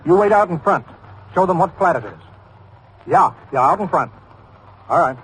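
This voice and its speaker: An actor playing a businesslike desk sergeant taking calls in clipped phrases in a 1950s radio drama. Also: male voice, fairly high pitch, animated, normal speed, fairly bright tone, slightly rough, pitched above the performer's own voice, full character voice. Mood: brisk. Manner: clipped, procedural phone talk. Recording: faintly noisy old radio recording.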